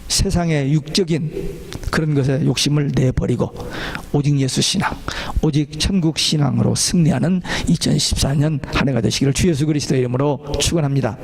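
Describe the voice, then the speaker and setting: A man preaching a church sermon as a pastor, exhorting listeners and putting questions to them. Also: a little high.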